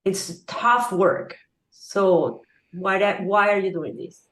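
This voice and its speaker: A woman's voice: medium pitch, steady tone, slow. A woman hosting an interview podcast, talking in a friendly, conversational way. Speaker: podcast host. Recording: roomy echo.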